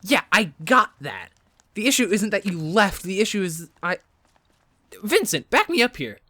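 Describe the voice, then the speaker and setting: An actor playing a sarcastic, exasperated young man in an audio drama, incredulous and halting, with sweary outbursts. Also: medium pitch, very animated, sharp tone, dry recording. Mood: bewildered. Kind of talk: naturalistic audio drama dialogue.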